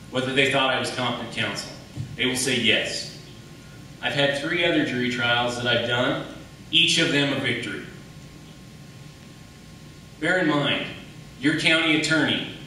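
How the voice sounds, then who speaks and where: A very reverberant, distant recording with faint noise carries an American man debating as a candidate. His voice is bright and forceful, and he argues emphatically, often putting questions and answering them. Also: fairly high pitch. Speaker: defense attorney running for county attorney. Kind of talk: campaign debate speech. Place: echoey hall at a public debate. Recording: very echoey room, distant mic, faint noise.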